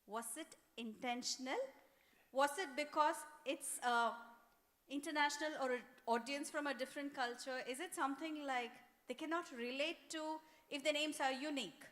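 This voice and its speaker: A woman's voice: high-pitched, fairly bright tone. A woman in the audience asking the speaker a thoughtful, careful question. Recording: echoey event hall.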